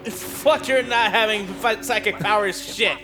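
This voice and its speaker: Man, high voice, drawn-out slow delivery, crude mock-dramatic game riffing, exaggerated, music behind. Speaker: gaming video co-commentator. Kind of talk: joking, theatrical outbursts over a game.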